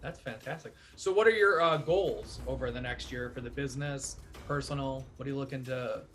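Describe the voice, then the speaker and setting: A man's voice: mid-range voice, a little fast, fairly bright tone, soft background music. A man hosting an interview, asking friendly questions with a few fillers. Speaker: interview host. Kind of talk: casual interview.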